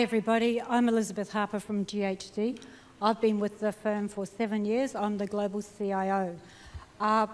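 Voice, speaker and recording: A woman executive speaking on a conference panel in a measured, businesslike way. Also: medium pitch. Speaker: panelist, chief information officer. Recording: long hall reverb through PA.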